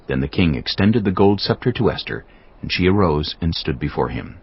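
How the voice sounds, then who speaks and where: Deep, husky male scripture narrator. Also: lively intonation. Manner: solemn, measured storytelling. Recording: dull lo-fi audio with music behind.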